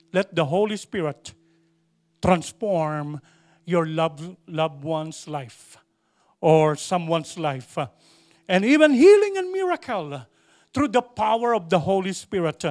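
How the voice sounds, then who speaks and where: A man preaching a sermon to a congregation, repeating phrases for emphasis and asking the church questions. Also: extremely high pitch. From Philippines. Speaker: pastor.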